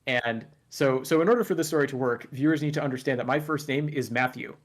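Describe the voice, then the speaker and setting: Relaxed man telling a story. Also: medium pitch, fast. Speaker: guest YouTuber. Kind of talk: casual conversation.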